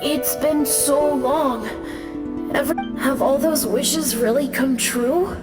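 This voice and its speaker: A woman voicing a shy, gentle, wistful young child in a video game, in an echoey sound with music. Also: high-pitched, slow.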